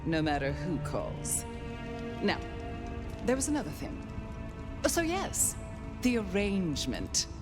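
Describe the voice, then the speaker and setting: Haughty, slow-drawling vampire grande dame. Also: female voice, lowish voice, huge pitch swings, music in the background, heavily acted. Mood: imperious. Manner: aristocratic, theatrical drawl, condescending endearments. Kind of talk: dramatic video game acting.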